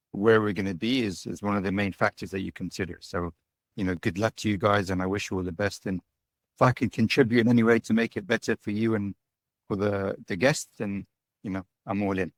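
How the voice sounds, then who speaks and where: A man's voice: fairly low pitch, fairly even intonation, brisk, no echo. A middle-aged man from the UK, a guest giving chatty, casual interview answers and tagging his points with "right?". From United Kingdom. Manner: chatty, tags points with "right?" and "you know". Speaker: guest, digital nomad and business owner.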